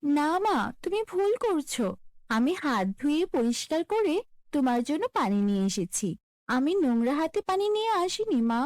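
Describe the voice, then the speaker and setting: A woman plays a meek young daughter from a cartoon folk tale. The voice is very high and lilting, earnest and pleading, in a dry recording. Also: very animated, moderate pace, a little mellow, dry recording. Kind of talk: cartoon fairy-tale character voice.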